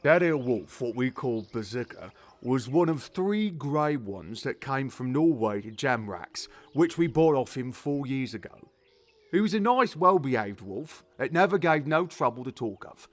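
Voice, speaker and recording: A man's voice: medium pitch, expressive, measured pace, close to an everyday voice. Man acting a grumpy old zookeeper. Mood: storytelling, candid. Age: older. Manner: colloquial, rambling, blunt storytelling. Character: grumpy but genial elderly working-class English zookeeper. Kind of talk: audio drama character acting.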